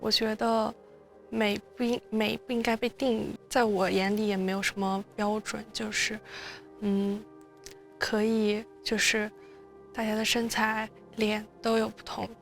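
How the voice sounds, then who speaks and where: A woman's voice: medium pitch, little pitch movement, unhurried, creaky, soft background music. A woman in a documentary interview, speaking hesitantly with many fillers.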